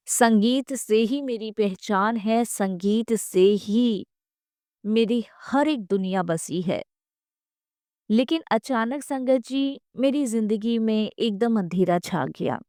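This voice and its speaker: Unhurried woman narrating devotional testimony. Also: mid-range voice, darkish tone, no room sound, clean studio sound.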